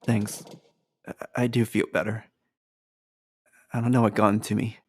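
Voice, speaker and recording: A man's voice: fairly low pitch, flat delivery, moderate pace, husky. A man playing an earnest, awkward, honor-bound young clansman, speaking tersely and hesitantly in game dialogue. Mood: apologetic. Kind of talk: fan-performed game script, dramatic dialogue.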